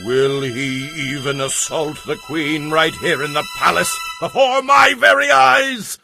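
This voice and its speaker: An actor playing an imperious, quick-tempered king in a dramatized scripture audio drama, declaiming with command. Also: on the high side, vocal fry, over music. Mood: outraged.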